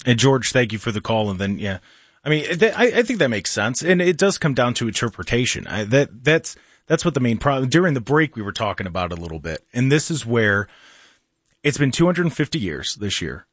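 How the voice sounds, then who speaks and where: A man's voice: medium pitch, sing-song, brisk, a bit bright, no echo. A man hosting a talk radio show in a studio, chatty, laughing often and restarting his sentences. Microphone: studio microphone.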